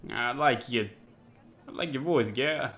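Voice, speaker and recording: A man's voice: mid-range voice, a little slow, fairly bright tone. A man giving casual, off-the-cuff reaction commentary, slangy and given to repeating himself. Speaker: reaction video host. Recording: music behind the voice. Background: dubbed comic's music playing.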